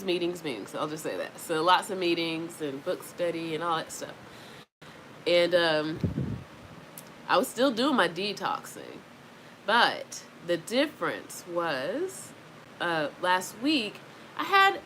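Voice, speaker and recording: A woman with a lowish, congested voice talks unhurriedly, in a loose, rambling vlog manner full of fillers. She is recorded at home, with a faint hum of fans behind her. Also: a bit bright, poor audio. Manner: rambling, chatty, frequent fillers. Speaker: wellness vlogger. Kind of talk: casual talking-to-camera vlog. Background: faint fan noise.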